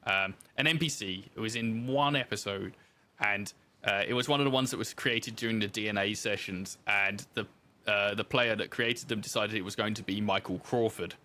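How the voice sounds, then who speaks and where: A man's voice: lowish voice, normal speed, bright, forceful tone. A man talking casually as a guest in a podcast interview, telling anecdotes with self-deprecating asides.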